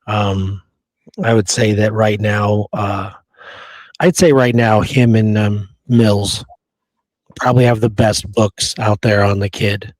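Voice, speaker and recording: A man's voice: on the low side, steady tone, slow, no echo, rough recording. A man co-hosting a casual history chat, speaking in a colloquial, opinionated way. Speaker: co-host of a history show. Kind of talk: casual chat about Old West history.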